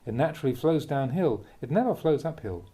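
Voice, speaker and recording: A man reading a Buddhist teaching aloud as a Dhamma talk, in measured, deliberate phrases with emphatic contrasts. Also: on the high side, very animated, dark tone.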